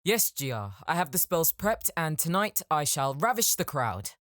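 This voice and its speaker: A man's voice: a little high, very animated, moderate pace, fairly bright tone, no room sound, clean studio sound. A man playing a knowing, eager young spellcaster in a game-world audiobook, explaining things with a slightly showy manner. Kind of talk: audiobook character acting.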